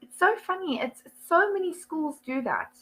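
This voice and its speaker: A woman's voice: very high-pitched, lively intonation, normal speed, fairly bright tone, slight background noise, low-quality recording. A young South African woman with a South African accent, an online English tutor chatting casually over a video call, laughing often and full of ums and likes. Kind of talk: casual one-to-one lesson chat.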